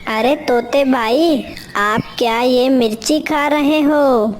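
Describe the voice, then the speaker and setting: Lazy, wheedling male crow for a children's cartoon, played by a woman. High, slow, pleading delivery over a slightly noisy track. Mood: curious. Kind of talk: Hindi children's cartoon story dialogue.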